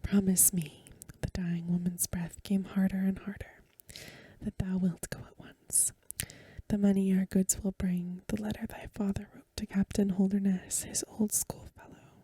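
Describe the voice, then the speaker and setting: A woman reads an old-fashioned story in an ASMR style. Her voice is a very breathy, hushed whisper with a soft, dark edge. She goes gently and without hurry, close to the mic in a dry, echo-free space. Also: mid-range voice, flat delivery, a little slow. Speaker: ASMR reader. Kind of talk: soft-spoken ASMR reading of a Victorian story.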